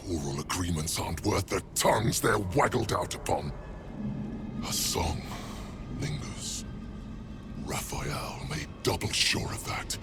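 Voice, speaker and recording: A man's voice: low-pitched, full character voice. An actor playing a proud, bitter devil in a video game, theatrical and snarling, savoring his words. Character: menacing male devil bound by a cruel contract, proud and bitter. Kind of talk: video game character acting. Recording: music behind the voice.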